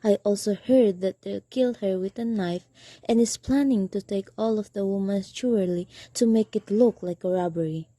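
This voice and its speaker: Young woman doing worried needy sick wife home alone, radio drama, really dark muted voice, dry recording. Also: medium pitch, no room sound, natural voice. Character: anxious, demanding invalid wife, alone at night. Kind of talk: student radio drama acting.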